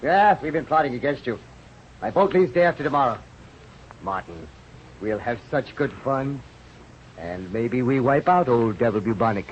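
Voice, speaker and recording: An actor playing a hearty, jovial older doctor, exclaiming and persuading in an old radio drama. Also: male voice, medium pitch, expressive, moderate pace, long pauses, natural voice. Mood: coaxing, jovial. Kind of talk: 1930s-40s radio drama acting. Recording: muffled, noisy old radio recording.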